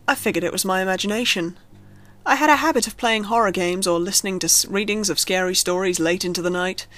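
A woman's voice: medium pitch, fairly bright tone. A woman reading a scripted horror story as a narrator, telling it expressively. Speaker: creepypasta narrator. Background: background music.

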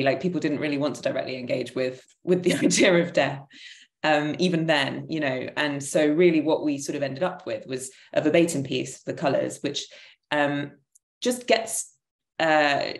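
A woman in her thirties with a notably low voice explains her creative work as a panel guest. She is conversational and a little hesitant, full of asides. The sound is echoey, from a room at home on a video call. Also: normal speed. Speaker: panel guest, theatre maker. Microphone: computer mic on a video call.

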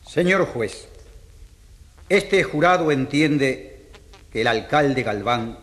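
An actor playing a stern, righteous male jury foreman in a radio drama, declaiming deliberately in a reverberant hall. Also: mid-range voice, animated, a bit bright. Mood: grave, accusing. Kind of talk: radio drama courtroom speech.

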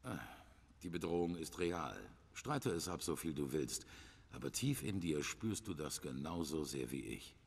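Super deep husky game guy, grave and ominous, big swings in pitch. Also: lively intonation, unhurried, big-room sound, faint music behind, full character voice. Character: ominous deep-voiced man warning of a threat. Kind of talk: game voice acting.

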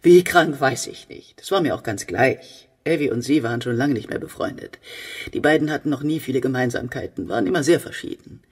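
An actress playing a genteel, brittle older widowed mother in a German radio play, with a husky voice. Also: a bass voice, fairly quick, darkish tone, distant mic. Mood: brittle, wistful.

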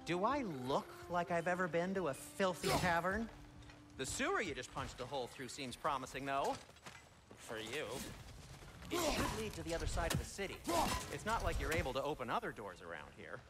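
An actor voicing a prim, sarcastic, fastidious male blacksmith and shopkeeper in a fantasy video game. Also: fairly high pitch, big pitch swings, heavy background noise. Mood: exasperated.

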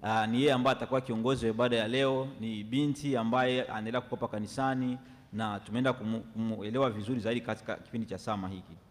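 A young man, a pastor, interpreting the welcome and announcements at a church service, speaking fluently and briskly. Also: medium pitch, fairly even intonation. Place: large church sanctuary. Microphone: stand microphone at a lectern. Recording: long church reverb.

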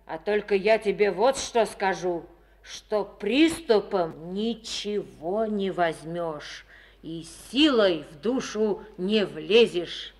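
An actress playing a proud, sharp-tongued village woman in a Soviet radio play, speaking in a folksy, teasing manner. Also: lowish voice, heavily acted. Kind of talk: 1950s radio play acting.

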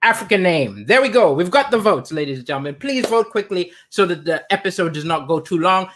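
A man's voice: high voice, brisk. A middle-aged man from Malawi hosting a live comedy stream from his kitchen, talking animatedly into a webcam. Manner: animated, gesturing, shifts between chatty asides and punchlines. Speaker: comedian and livestream host. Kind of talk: stand-up comedy on a livestream. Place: home kitchen, indoors. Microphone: webcam at desk.

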